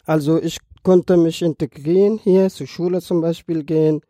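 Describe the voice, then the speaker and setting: A man's voice: fairly high pitch, fairly even intonation, normal speed, mellow, dry recording, clean studio sound. A man in his early twenties from Afghanistan, a native Dari speaker with an Afghan accent, telling his own story in a podcast conversation with non-native phrasing. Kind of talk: personal account in a podcast conversation.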